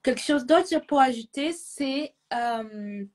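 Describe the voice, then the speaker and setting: Woman host, teaches languages, not her native tongue, super lively up-and-down voice, encouraging. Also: sits high, unhurried, slight background noise. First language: not French. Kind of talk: casual conversation.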